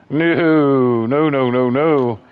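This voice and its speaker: Male streamer, bassy voice, chatty rambler, muffled stream audio. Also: clean tone. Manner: rambling, chatty storyteller, calls people bro. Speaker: livestream host. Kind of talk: casual chat.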